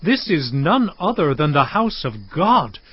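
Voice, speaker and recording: A man's voice: a little high, very animated, measured pace, husky, no echo, exaggerated voice. A man playing an awestruck, devout younger son in a dramatized audio Bible, speaking with reverence. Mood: awed, afraid. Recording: dull sound, faint music.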